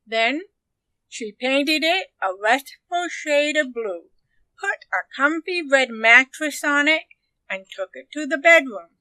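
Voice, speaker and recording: A woman narrating a children's story expressively, with deliberate, dramatic phrasing. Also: high voice, expressive, pushed and bright, no room sound, poor audio. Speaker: storybook reader.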